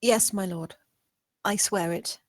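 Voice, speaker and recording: A timid young housemaid, acted by a woman at a table read. She speaks slowly and softly, hesitating before she answers. The sound is dry and close, and her manner is obedient and reserved. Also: mid-range voice, steady tone, no echo. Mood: nervous, submissive. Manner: hesitant, deferential, soft-spoken. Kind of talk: dramatic script table read.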